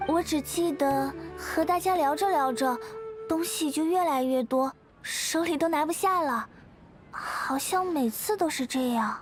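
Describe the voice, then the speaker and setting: A woman voicing a gentle, modest and friendly young woman in an anime game, speaking politely and earnestly. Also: high voice, unhurried, soft background music. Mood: sheepish. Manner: polite, soft-hearted, earnest.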